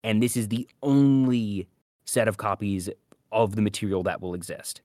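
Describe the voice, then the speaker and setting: Lower-voiced man in a dry-sounding podcast chat. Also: normal speed, dark tone, no echo. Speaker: co-host. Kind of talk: casual podcast discussion.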